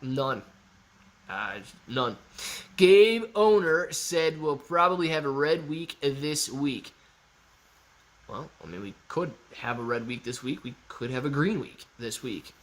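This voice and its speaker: A man in his thirties hosting a live stock market Q&A, reading viewer chat with playful, animated banter. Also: mid-range voice, unhurried. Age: 30s.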